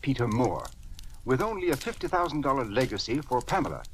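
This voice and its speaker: A man's voice: medium pitch, big pitch swings, a little fast, natural voice. An actor playing an earnest, troubled older male judge with a hesitant, formal manner in a 1940s radio drama. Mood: confidential, uneasy. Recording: noisy old radio recording.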